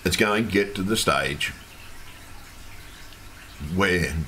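A low-voiced man delivers an agitated, repetitive rant, swearing now and then. His voice is hoarse and fading. A faint hum of home ambience sits behind him. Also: slow pace, bright, forceful tone. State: losing his voice. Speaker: livestreamer. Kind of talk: ranting livestream monologue. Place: home room with fish tank. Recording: faint background hum from fish tank.

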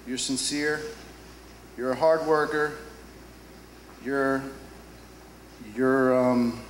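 Flustered best man, slow and high, echoing hall. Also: steady tone. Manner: flustered, reading from a card. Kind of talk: wedding toast. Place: wedding reception hall. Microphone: handheld mic. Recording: echoey noisy hall.

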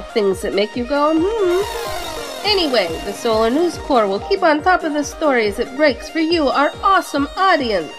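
A woman's voice: high-pitched, low-quality recording. A woman playing a breezy, flirty news anchor in a futuristic audio drama, perky and showy. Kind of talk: mock newscast in audio drama. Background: music behind the voice.

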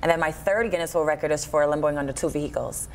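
A woman's voice: low voice, quick pace, slight background noise. A woman around thirty, a performer being interviewed as a guest, talking casually and informally in a TV studio. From Trinidad and Tobago and Buffalo, USA. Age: 30s. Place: TV studio kitchen set, indoors. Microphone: lapel mic.

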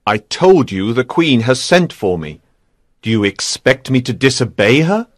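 A man's voice: mid-range voice, a little slow, darkish tone, no echo, studio quality, lightly acted. An actor playing a proud, brave knight devoted to his queen in a dramatised storybook. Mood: cold, proud.

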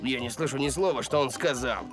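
A man's voice: medium pitch, a little fast, music in the background, close to an everyday voice. A man voicing a simple, good-natured and easily confused gorgonops in a children's cartoon. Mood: bewildered. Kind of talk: Russian cartoon dubbing.

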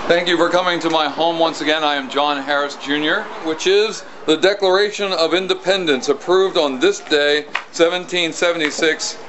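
High-pitched colonial orator, played by a man. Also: natural voice. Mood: formal welcome. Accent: American. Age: middle-aged. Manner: declamatory, projected, measured. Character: solemn colonial townsman proclaiming a public document. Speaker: costumed historical reenactor. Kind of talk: formal public reading. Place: outdoors on a stone house porch. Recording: muffled outdoor recording with clear ambient noise.

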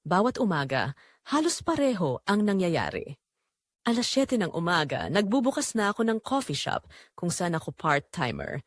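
Young female barista narrator acted by a woman for romance audio drama: reflective, quietly romantic, very varied intonation, dry recording. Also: fairly low pitch, huge pitch swings, moderate pace, no echo. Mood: weary, reflective. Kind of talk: first-person scripted audio drama narration.

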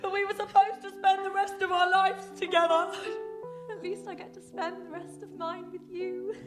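A woman's voice: extremely high pitch, little pitch movement, moderate pace, music in the background. A woman playing an earnest, lovelorn guard with overwrought declamation in a stage mock-melodrama. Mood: tearful, romantic. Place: theatre stage.

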